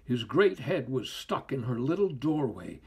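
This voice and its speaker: An older man narrates a children's read-aloud in a theatrical storyteller style. His husky voice moves slowly and deliberately, with big expressive swings in pitch.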